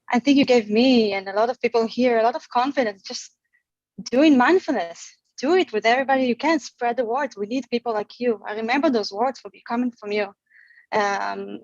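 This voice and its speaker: A woman in her forties from Israel, sharing her gratitude on a group video call, halting and full of fillers and restarts. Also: fairly high pitch, no room sound, muffled recording. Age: 40s. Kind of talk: heartfelt casual sharing.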